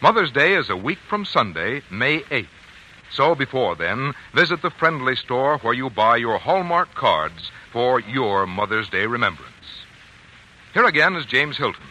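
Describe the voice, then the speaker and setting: Very bright, forceful male radio announcer. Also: medium pitch, sing-song, measured pace, highs cut off, a little hiss or hum. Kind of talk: 1940s scripted radio commercial announcing. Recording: old radio broadcast, faint music behind.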